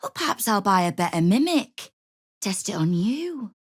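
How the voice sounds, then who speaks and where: A woman's voice: medium pitch, very animated, normal speed, dry recording. An actress playing a tough, sardonic woman who runs a city thieves' guild in a fantasy video game, with a dry, commanding manner. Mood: wry. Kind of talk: video game character acting.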